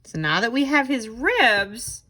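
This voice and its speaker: A woman's voice: on the high side, very animated, measured pace, pushed and bright. A woman tutor giving children simple, encouraging step-by-step instructions for a craft. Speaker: tutor leading a children's read-aloud craft.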